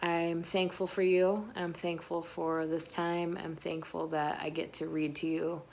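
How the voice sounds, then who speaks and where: A woman reading a children's picture book aloud expressively, pausing between phrases with dramatic emphasis. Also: on the low side, level tone, vocal fry, a little mellow. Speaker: children's read-aloud host.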